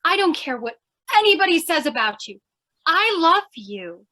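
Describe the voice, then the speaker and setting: A feisty young newspaper gal from a vintage radio comedy, acted by a woman. Her voice is high, forceful and very lively, pressing every demand with theatrical impatience. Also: normal speed, exaggerated voice. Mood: bossy, exasperated. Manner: insistent, exclamatory, theatrical. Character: feisty, pushy young female aspiring reporter, impatient fiancée. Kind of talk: old-time radio comedy acting.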